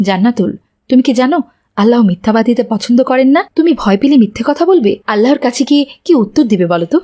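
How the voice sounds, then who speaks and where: A woman's voice: on the high side, expressive, fast talker, warm tone, natural voice. A woman playing a stern but caring mother in a children's moral cartoon, scolding and preaching. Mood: stern, preachy.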